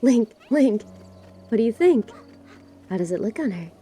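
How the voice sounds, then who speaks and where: Woman playing a mellow-voiced friendly young shopkeeper. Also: on the high side, big pitch swings, moderate pace, slightly rough. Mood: eager. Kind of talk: fan audio drama acting.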